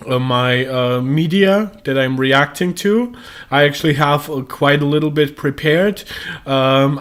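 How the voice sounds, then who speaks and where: A young man hosting a tech livestream, with a deep voice made hoarse by a cold, talking casually with lots of ums and uhs. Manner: rambling with many ums and uhs.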